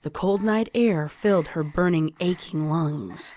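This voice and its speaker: A woman's voice: lowish voice, a little slow, dark tone, no echo, clean studio sound. A woman reading scripted horror fiction as a dramatic story narrator. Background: music under the voice.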